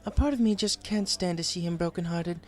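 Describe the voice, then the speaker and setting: A man's voice: high voice, brisk, voice pushed up, lightly acted. A man voicing a refined, melodramatic female pony seamstress in an audiobook, prim, genteel and given to wistful whining. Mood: wistful, hesitant. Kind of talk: audiobook character voice.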